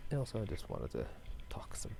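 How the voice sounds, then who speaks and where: A man in a hushed, half-whispered ASMR chat, rambling hesitantly as he walks outside at night. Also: a little fast. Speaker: gaming and ASMR video creator. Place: outdoors at night, walking. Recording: noisy night outdoors, frogs croaking. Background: frogs croaking, night ambience.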